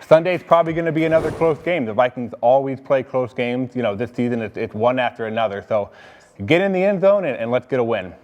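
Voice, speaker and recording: A man's voice: mid-range voice, lively intonation, fast talker, a little mellow, long reverb tail. An American man talking casually as a sports analyst on a team show.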